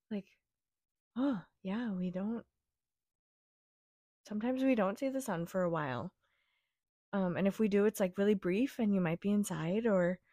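Raspy-voiced woman recovering from a cold. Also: medium pitch, no room sound, studio quality. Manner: gentle, reassuring, rambling. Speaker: podcast host. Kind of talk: casual solo podcast chat.

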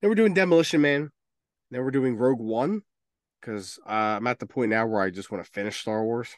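A man's voice: medium pitch, fairly quick, dry recording. Casual, sweary man, close dry sound. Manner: informal, profane, opinionated. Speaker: podcast host. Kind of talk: casual podcast chat about movies.

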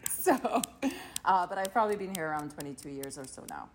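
A woman in her forties from Trinidad, chatting as a podcast guest, thoughtful with many pauses. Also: low voice, lively intonation, a little hiss or hum. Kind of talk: casual interview chat.

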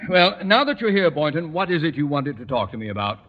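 An actor playing a pompous, impatient high school principal with emphatic delivery in a 1940s radio comedy. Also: male voice, very animated, quick pace. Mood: officious. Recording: muffled 1940s radio, audience behind.

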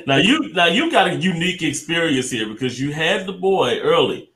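A man's voice: on the high side, big pitch swings, moderate pace, fairly bright tone, echoey room. A man co-hosting an interview who laughs often and answers with short agreeing replies. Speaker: co-host. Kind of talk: casual interview.

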